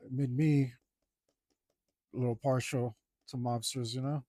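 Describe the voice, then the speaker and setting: A man talking casually to himself as he works on a digital drawing, mumbling in a relaxed way. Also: mid-range voice, unhurried, no echo. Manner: mumbling to himself, laid-back. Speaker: digital artist. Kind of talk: casual talk while drawing.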